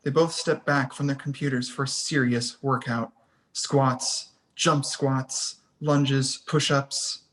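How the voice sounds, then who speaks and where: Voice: mid-range voice, fairly even intonation, slow pace, fairly bright tone. A narrator reading scene headings and action lines in a scripted table read. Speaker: stage directions narrator.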